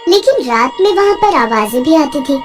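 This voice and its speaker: Cartoon storyteller, high pitched and animated, light music bed. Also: moderate pace. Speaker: narrator.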